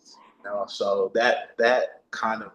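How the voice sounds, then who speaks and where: A man's voice: on the low side, flat delivery, takes it slowly, fairly bright tone, slight background noise, rough recording. A man talking casually as an actor being interviewed, with slangy, sweary, bro-heavy phrasing. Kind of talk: casual chat.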